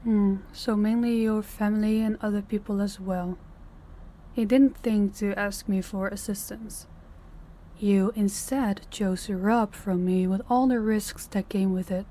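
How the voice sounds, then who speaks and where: A woman playing a stern, condescending female mafia boss in an intimate audio roleplay, giving measured orders directly to the listener. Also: mid-range voice, steady tone, dark tone, a little hiss or hum, close to an everyday voice. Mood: cold, condescending.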